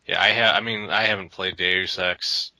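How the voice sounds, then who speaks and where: A man's voice: low-pitched, steady tone, moderate pace, creaky, pushed and bright, dry recording. A man co-hosting a casual gaming podcast, talking in long rambling runs with frequent restarts. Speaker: podcast co-host.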